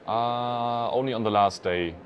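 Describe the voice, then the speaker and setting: A man's voice: mid-range voice, measured pace, highs cut off. A man in his late twenties from Hamburg, a German native speaker, answering simply and hesitantly outdoors on a lapel mic. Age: 20s. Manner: hesitant, simple phrasing with fillers. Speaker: interviewee, pilgrim. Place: outdoors under a stone arcade.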